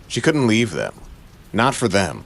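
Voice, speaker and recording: A man's voice: on the low side, wildly swooping pitch, measured pace, gravelly, husky. A man narrating a noir crime audio drama in a brooding, dramatic way with short clipped phrases.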